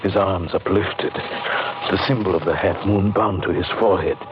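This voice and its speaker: An actor playing an earnest gentleman narrator in an eerie old time radio drama, with measured, literary delivery. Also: low voice, lightly acted. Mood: tense wonder. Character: earnest gentleman narrator recounting eerie events, increasingly spellbound. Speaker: narrator and lead in a radio play. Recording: muffled, noisy old radio recording.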